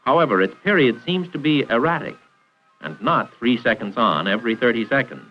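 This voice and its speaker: Male American narrator, 1940s training film: formal, precise, instructional, animated intonation, dull vintage soundtrack. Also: medium pitch, fairly quick, soft background music.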